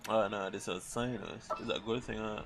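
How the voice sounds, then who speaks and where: A man's voice: fairly low pitch, steady tone, fairly quick, over music. A young man giving casual livestream game commentary, rambling and slangy as he thinks aloud. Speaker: game streamer. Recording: noisy livestream mic.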